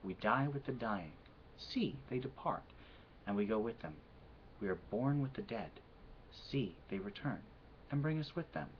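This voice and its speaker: A measured, soft-spoken man with a Midwestern accent, his voice sitting a little low. He pauses with hesitant "um"s between casual answers and reads a poem slowly. The home recording is quiet, dull and slightly lo-fi. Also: expressive, a little hiss or hum. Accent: Midwestern American. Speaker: vlogger answering an accent challenge. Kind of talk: casual answers and slow poetry reading.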